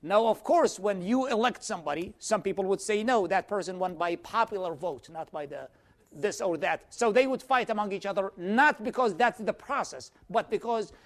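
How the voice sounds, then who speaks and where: Man teaching, high voice. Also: a bit bright. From Iraq. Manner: measured, explanatory, answers questions directly. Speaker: teacher of a church class series. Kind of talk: lecture with audience questions.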